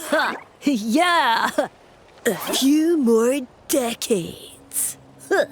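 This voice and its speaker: Tiny high-pitched, slow, smug mushroom. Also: female voice, lively intonation, a little hiss or hum, heavily acted. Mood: smug, gleeful. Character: smug talking mushroom, small, excitable, mocking sidekick. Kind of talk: video game voice acting. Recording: music behind the voice. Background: game music.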